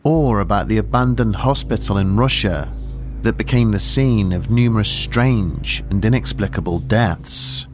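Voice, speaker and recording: A man narrating a scripted horror storytelling trailer in an ominous, deliberate, dramatic manner. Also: fairly low pitch, music in the background. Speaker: podcast narrator.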